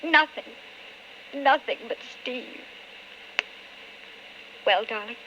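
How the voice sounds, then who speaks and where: An actress playing an anguished, calculating wife of an alcoholic in an old-time radio drama, with intense, melodramatic delivery. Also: female voice, a little high, slow pace, bright, forceful tone, muffled recording, a little hiss or hum. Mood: subdued.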